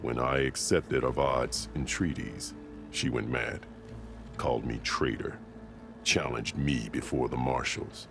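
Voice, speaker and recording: A battle-scarred warrior chief with an exceptionally deep voice. He speaks formally and solemnly, like a ruler who values order, in dramatic video game dialogue. Music plays clearly behind him. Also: exaggerated voice. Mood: grave, recounting. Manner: formal, solemn, measured storytelling.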